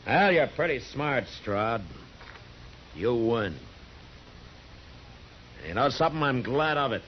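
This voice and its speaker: An American actor playing a stern, steady frontier marshal in a 1950s radio Western, commanding and terse. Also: male voice, medium pitch, takes it slowly, a little hiss or hum. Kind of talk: 1950s radio drama acting. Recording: dull vintage 1950s radio recording.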